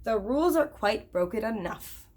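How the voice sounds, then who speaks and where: A woman's voice: fairly high pitch, lively intonation, normal speed, a little mellow. A woman playing a regretful but firm, rule-minded schoolteacher in a storybook. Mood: apologetic, firm.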